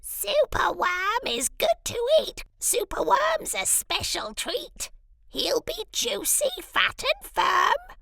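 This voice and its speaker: A woman voicing a menacing storybook crow, chanting gloatingly in a shrill croak. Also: huge pitch swings, slow pace, pushed and bright, no echo, clean studio sound, heavily acted. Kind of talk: storybook character voice.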